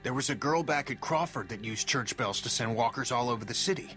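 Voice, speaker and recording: An actor playing a hot-headed, stubborn middle-aged survivor in a dramatic video game, with a blunt, agitated manner. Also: male voice, mid-range voice, brisk, fairly bright tone, faint music behind, lightly acted.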